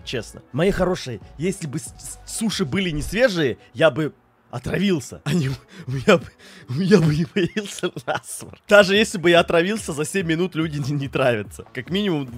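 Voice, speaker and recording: A young man with a stuffy, nasal voice, chatting animatedly to his stream chat from a home setup on a boom mic. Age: young adult. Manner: animated, gestures a lot, swears casually. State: stuffy nose. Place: small home streaming room. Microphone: studio mic on boom arm.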